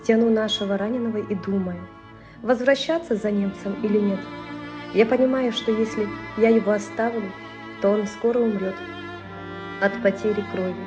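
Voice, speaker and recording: A woman's voice: medium pitch, fairly even intonation, moderate pace, music in the background, close to an everyday voice. A woman playing a compassionate young army medic recalling the war in an earnest dramatic monologue for an amateur audio drama. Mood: resolute, tender.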